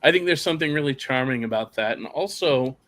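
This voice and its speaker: A middle-aged man, a guest on a live review, speaking in a measured, reflective way. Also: mid-range voice, lively intonation, a bit bright. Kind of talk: casual chat.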